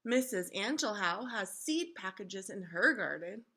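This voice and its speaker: A woman's voice: medium pitch, lively intonation, measured pace, bright, forceful tone, husky, exaggerated voice. A woman voicing a curious, discontented young girl who asks plaintive questions in a children's storybook. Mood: puzzled. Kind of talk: children's storybook read-aloud.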